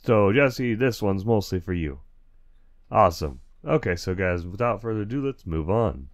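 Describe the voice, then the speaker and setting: Guy w a cold doing his podcast, kinda low voice, dry stuffy throat, rambly and chuckly. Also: expressive, normal speed. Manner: rambling and self-correcting, with chuckles. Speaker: podcast host. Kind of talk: casual solo podcast chat.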